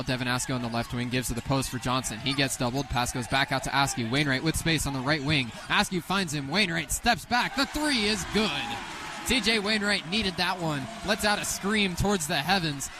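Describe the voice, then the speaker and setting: A man in his twenties calling basketball play-by-play live on the radio in an excitable manner. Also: on the high side. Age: 20s. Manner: excitable, rising sharply on big plays. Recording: live sports radio, faint background noise.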